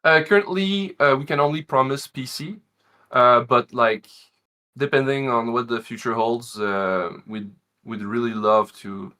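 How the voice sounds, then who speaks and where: A man from Canada speaks with a bright, forward tone at a middle pitch. He is an indie game developer explaining his work earnestly, leaning on "like" as a filler. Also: a little slow. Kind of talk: casual interview answers.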